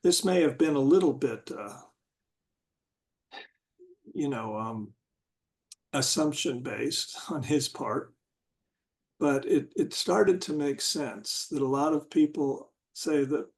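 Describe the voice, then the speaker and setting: Slow, halting man with a high voice. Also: darkish tone. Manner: halting, searching for words with many restarts. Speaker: discussion group member. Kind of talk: casual group discussion.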